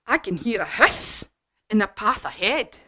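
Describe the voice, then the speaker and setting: A woman voicing a huge, hungry, slow-witted monster in a children's story, with drawn-out, exaggerated words. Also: mid-range voice, very animated, bright, forceful tone, heavily acted. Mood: wary.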